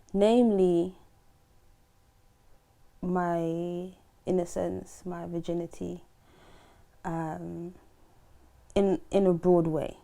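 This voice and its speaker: A woman's voice: on the low side, a little mellow. A woman in her twenties, born in Trinidad and Tobago, chatting as a guest, joking loosely and laughing between words. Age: 20s. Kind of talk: casual chat.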